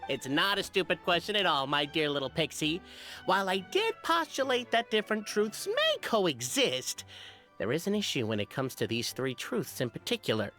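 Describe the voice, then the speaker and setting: A woman playing a grandiose, excitable scholar in a video game, wordy and theatrical. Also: lowish voice, normal speed, faint music behind. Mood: lecturing. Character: scholarly, excitable, grandiose female clan researcher.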